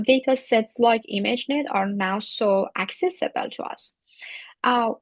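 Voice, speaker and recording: Woman explaining ai stuff, lots of ums and restarts, mid pitch, dry sound. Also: gravelly, no room sound, rough recording. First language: Persian. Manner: explanatory, with frequent fillers and restarts. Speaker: AI researcher giving a talk. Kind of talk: technical presentation.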